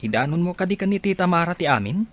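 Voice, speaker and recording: A man playing a concerned, gently persuasive young student, earnest and questioning in an amateur audio drama. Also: high-pitched, expressive, fast talker, no echo. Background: faint music.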